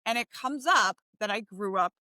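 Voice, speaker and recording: Brief: woman from Brazil, podcast co-host, bright forceful tone, close dry sound, makes her points with emphasis. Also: medium pitch, lively intonation, normal speed, no echo. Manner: emphatic, explains and argues in long stretches. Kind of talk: casual podcast conversation.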